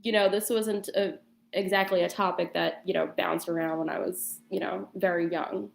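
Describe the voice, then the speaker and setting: A woman with a fairly low voice tells her story casually, like a guest in conversation. Her phrasing is somewhat halting and hesitant. The sound is noticeably roomy, with clear echo around her voice. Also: steady tone. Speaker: guest telling a personal account. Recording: roomy, echoing voice chat.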